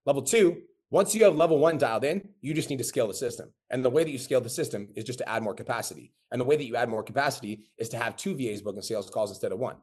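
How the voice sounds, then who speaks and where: A man's voice: mid-range voice, racing, few pauses, darkish tone, husky. A man teaching a live coaching webinar, blunt and casual with frequent profanity. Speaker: business coach.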